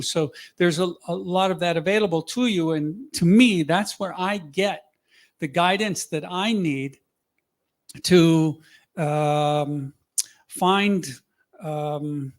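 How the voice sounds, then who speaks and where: Halting man, many restarts. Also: a little high. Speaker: speaker on a panel. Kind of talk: casual talk to an audience.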